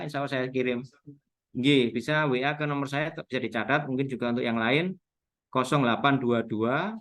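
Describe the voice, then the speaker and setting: A man's voice: mid-range voice, fairly bright tone. A man with a Javanese Indonesian accent giving an informal explanatory webinar talk, going step by step and spelling out terms as a speaker on local history.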